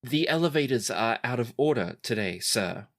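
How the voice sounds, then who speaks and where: A woman's voice: rumbling low, animated, moderate pace, heavily acted. Woman playing a nervous, deferential palace clerk. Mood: nervous, evasive. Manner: hesitant, formal, apologetic.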